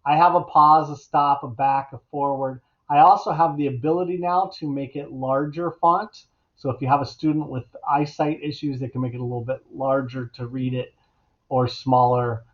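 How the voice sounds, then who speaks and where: A middle-aged man talks through a software demonstration in a casual teaching style. His voice sits at a medium pitch. The recording is slightly dull and lo-fi, as from a computer microphone. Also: fairly even intonation, normal speed. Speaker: educator giving a software tutorial. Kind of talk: casual instructional screencast narration. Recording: dull, lo-fi computer mic sound.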